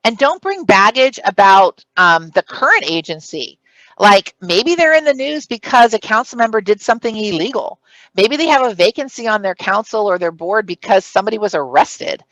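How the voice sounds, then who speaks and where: A woman's voice: medium pitch, a bit bright, dry recording, poor audio. Projecting woman giving interview advice. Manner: projected, emphatic, speaks in numbered points. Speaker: conference presenter. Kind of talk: recorded conference talk giving career advice.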